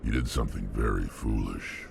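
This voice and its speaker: An actor playing a huge, gruff alien mercenary in a video game, blunt and menacing, with a gravelly growl. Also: male voice, extremely low pitch, moderate pace, background noise, full character voice. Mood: grim, measured.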